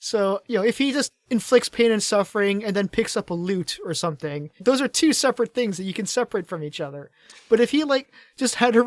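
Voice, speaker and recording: A man's voice: sits high, dry recording. Tired-voiced male podcast co-host, fairly dry sound. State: tired voice starting to fade after hours of talking. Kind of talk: casual gaming podcast chat.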